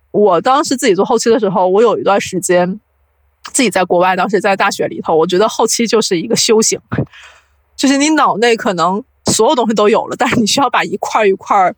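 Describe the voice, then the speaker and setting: A woman's voice: medium pitch, dry recording. A woman speaking as an interview guest, explaining audio production in casual chat and mixing in English terms.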